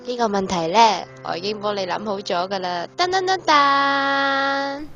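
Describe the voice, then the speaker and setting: A cheerful, caring teenage girl played by a woman speaks Hong Kong Cantonese in a radio play. Her manner is breezy and friendly. Music is clearly heard beneath her. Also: fairly high pitch, fairly even intonation, moderate pace, pushed and bright, dull audio. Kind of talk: Hobby radio drama acting. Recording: prominent music under the dialogue.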